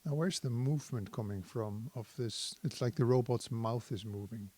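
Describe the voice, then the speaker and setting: A man giving a casual hands-on tutorial as a synthesizer demonstrator, thinking aloud in an unhurried, explanatory way. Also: mid-range voice, background noise.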